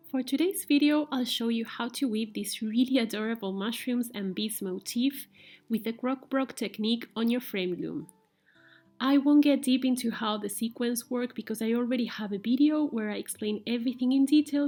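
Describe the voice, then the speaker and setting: A woman whose first language is Spanish speaks English with a Spanish accent. She hosts a weaving tutorial in a gentle, halting way with many fillers. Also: medium pitch, no echo. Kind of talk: casual personal talk and craft tutorial.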